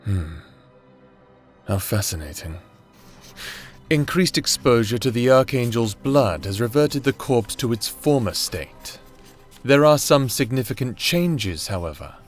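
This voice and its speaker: A man playing a detached researcher in a fantasy audio drama, dictating notes in a formal, measured, fascinated manner. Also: medium pitch, expressive, soft background music. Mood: coldly fascinated.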